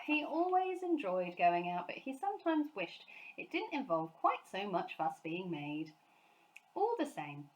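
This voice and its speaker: A woman narrating a children's story in an expressive, scripted storytelling style. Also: medium pitch, poor audio.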